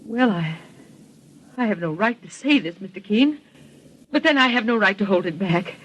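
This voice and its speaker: An anxious young woman in a vintage radio mystery. Her voice is bright and tense, earnest and wounded, hesitating before she confides. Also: medium pitch, animated, normal speed, husky, rough recording. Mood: hesitant, earnest. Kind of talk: 1940s radio melodrama acting. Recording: vintage 1949 radio drama recording.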